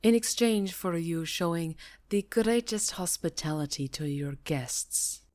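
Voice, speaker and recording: A woman's voice: low voice, a little mellow. A woman voicing a haughty, menacing vampire countess with a silky, imperious delivery in a fantasy roleplay. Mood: cold, threatening. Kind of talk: fantasy ASMR roleplay acting.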